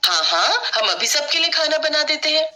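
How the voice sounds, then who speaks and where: A woman's voice: high voice, very animated, normal speed, pushed and bright, voice pushed up, exaggerated voice. A woman voicing a kind, simple village sister-in-law who is caring and eager to please in a family story.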